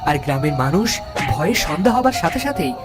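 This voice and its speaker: Male narrator, scripted cartoon storytelling, lively intonation, music behind. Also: fairly high pitch, a little fast.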